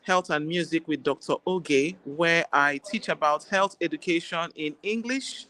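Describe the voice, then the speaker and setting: A woman with a Nigerian accent, an Igbo speaker, talking as a guest doctor in a relaxed interview, chatty and quick to laugh. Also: low voice. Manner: warm, chatty, laughs easily. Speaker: guest, general practitioner. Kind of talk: casual interview chat.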